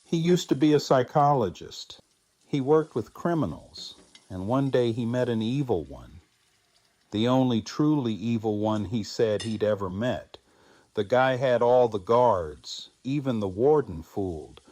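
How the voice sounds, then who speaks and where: A man playing a grieving, reflective widowed survivor in an audio drama, speaking in a halting, subdued manner. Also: mid-range voice, expressive, a little mellow. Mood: reflective storytelling. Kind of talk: fan audio drama acting.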